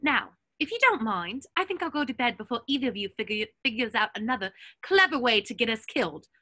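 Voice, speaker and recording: A woman plays a bossy young witch at school in a table read. Her voice is fairly high and swoops around as she lectures like a know-it-all. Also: very animated, fairly quick, sharp tone, exaggerated voice. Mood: exasperated. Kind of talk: amateur online script table read.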